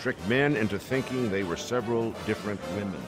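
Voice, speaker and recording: A man's voice: lowish voice, fairly quick, lightly acted. A man playing a middle-aged, powerful and patronizing commander in a modern audio drama, self-assured and condescending. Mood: smug, expansive. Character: smug, powerful male commander, furtive and patronizing. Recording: loud music bed behind voice.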